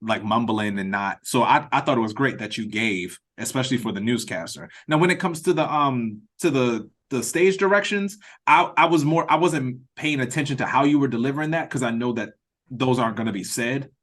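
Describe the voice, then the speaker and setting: A man's voice: medium pitch, a little fast, fairly bright tone. A man speaking as a playwright leading a read-through on a video call, giving casual, encouraging and meandering feedback. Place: remote meeting over a video call.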